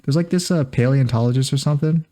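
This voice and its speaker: Mellow-voiced man, joking podcast host. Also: medium pitch, quick pace. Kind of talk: casual comedic chat.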